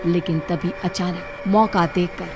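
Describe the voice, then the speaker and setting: Female storyteller, lowish voice, scripted horror cartoon narration, clear background music. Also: steady tone, measured pace.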